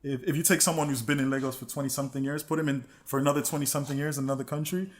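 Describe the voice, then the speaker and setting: A man's voice: mid-range voice, level tone, rapid-fire. A man co-hosting a casual podcast chat, rambling and repeating words as he thinks aloud. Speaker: podcast co-host.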